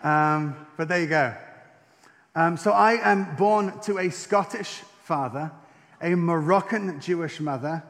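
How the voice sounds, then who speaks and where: A man's voice: on the high side, a little slow, fairly bright tone. A young man with an English accent telling his personal faith story to an audience, with wry self-deprecating humor and many ums. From England and France. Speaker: speaker giving a testimony. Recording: long reverb of a hall.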